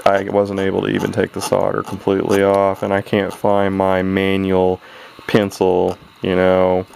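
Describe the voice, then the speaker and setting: Very low-voiced gravelly man, casual and folksy. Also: completely flat delivery, moderate pace, a little mellow. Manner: rambling, folksy, self-correcting. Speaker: hobbyist radio repairer presenting his own video. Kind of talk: casual hands-on repair narration. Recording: noisy handheld camera home video.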